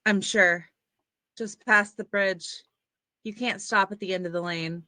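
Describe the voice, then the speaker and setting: A woman's voice: mid-range voice, steady tone, a little slow, bright, forceful tone, no echo. A woman playing a determined, vengeful arsonist in a horror screenplay table read. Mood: curt, determined.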